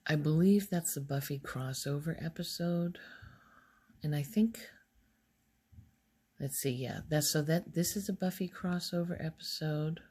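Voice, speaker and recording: A soft-spoken woman recording casual ASMR, rambling in a hushed voice and musing aloud as she goes. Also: low voice, unhurried, long pauses, husky. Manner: hesitant, musing aloud, trailing off. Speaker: ASMR video creator.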